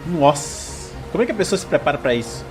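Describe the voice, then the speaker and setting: A Brazilian man giving casual, expressive, exclamatory commentary as he reacts to a video game. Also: on the high side, rapid-fire. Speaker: gaming video host. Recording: game music behind.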